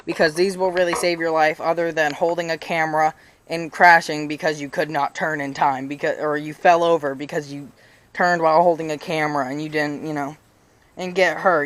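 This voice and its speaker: Rambling young man with a raspy voice. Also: fairly high pitch, steady tone, normal speed, a bit bright. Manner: rambling, full of "you know" fillers and restarts. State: slightly raspy voice. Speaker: BMX vlogger. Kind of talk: casual how-to vlog. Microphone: chest-mounted action camera.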